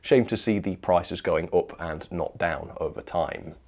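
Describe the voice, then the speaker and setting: British guy doing a casual review rundown, lowish voice, chatty and opinionated. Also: measured pace, darkish tone. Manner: opinionated, conversational, tag questions and asides. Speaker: presenter of a model train review channel. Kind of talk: product news commentary, casual review.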